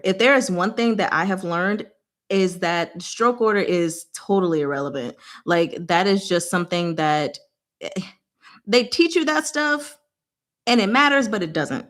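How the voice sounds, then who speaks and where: A woman's voice: fairly low pitch, a bit bright. A woman hosting a casual book discussion, explaining things informally with playful, rambling asides.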